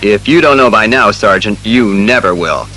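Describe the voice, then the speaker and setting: An actor playing a brisk, exasperated male police commissioner in an audio drama, testy and emphatic. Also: lowish voice, pushed and bright, soft background music.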